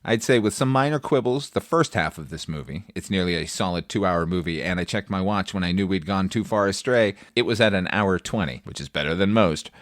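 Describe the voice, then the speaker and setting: A man with a fairly low voice delivers a film review as a podcast host. He is wry and opinionated, swears freely, and is recorded close and dry. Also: fairly quick, hardly any pauses, no echo.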